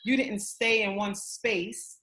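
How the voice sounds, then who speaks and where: A woman's voice: medium pitch, fairly even intonation, takes it slowly, sharp tone. A woman, a school principal, hosting an interview and reacting to her guest with enthusiastic, affirming interjections.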